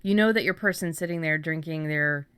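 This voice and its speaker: A woman's voice: low voice, brisk, fairly bright tone, clean tone, no echo. A woman hosting a podcast in casual chat, informal and given to acting out imagined remarks. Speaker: podcast host.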